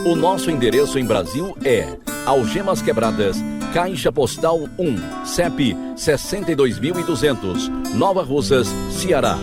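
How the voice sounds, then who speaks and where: A man with a Brazilian accent reading scripted narration and announcements as a radio program announcer. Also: mid-range voice. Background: loud guitar music.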